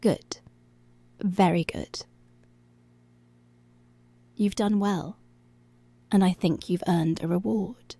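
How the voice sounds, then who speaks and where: Woman acting a slow, crackly-voiced, seductive commanding policewoman. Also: mid-range voice, fairly even intonation, no echo. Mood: tender, intimate. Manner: slow, hushed, breathy, deliberate commands. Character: dominant, commanding, seductive policewoman, possessive yet tender. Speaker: ASMR voice actor. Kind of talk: intimate ASMR roleplay, half whispered. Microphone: close, dry microphone.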